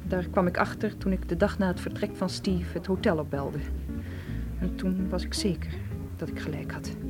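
A woman's voice: lowish voice, close to an everyday voice. An actress playing an anxious, evasive female tour guide in a radio drama, explaining herself hesitantly and defensively.

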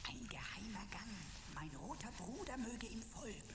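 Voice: medium pitch, expressive, moderate pace, echoey room, very noisy, lightly acted. An actor voicing a cheeky, mocking computer sidekick in a German radio play, with sarcastic, mock-formal phrasing. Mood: hushed, playful.

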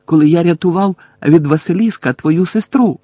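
Man playing teenage boy wizard, audiobook character, impatient, defiant, snappish, raised pitch, husky. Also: normal speed, no echo. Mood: furious, urgent. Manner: shouting, snappish.

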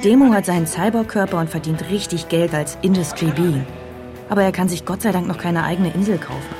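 Radio play casting: young first-person narrator, dry and wry, lower register, music underneath. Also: female voice. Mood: sardonic, content. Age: young adult. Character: Megan, wry young woman telling her story.

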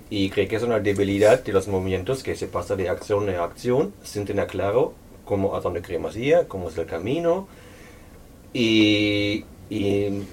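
Very low voice, non-native speaker at a book talk, lots of ums, mic a bit far off with faint room noise. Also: a little mellow. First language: not Spanish. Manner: hesitant, thoughtful, many pauses and fillers. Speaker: author presenting a book. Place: local venue at a public presentation.

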